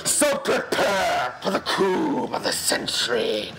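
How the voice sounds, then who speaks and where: A man's voice: sits high, wildly swooping pitch, heavily acted, poor audio. A man playing a haughty, scheming lion usurper in a theatrical musical song cover, sneering and drawing out his words. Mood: boastful, grandiose. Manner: pompous, sneering, drawn-out theatrical delivery. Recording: amateur song cover over backing music.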